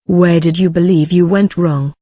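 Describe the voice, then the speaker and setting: A woman's voice: on the low side, steady tone, normal speed, dark tone. A woman interviewer with a formal delivery, reading scripted narration and questions.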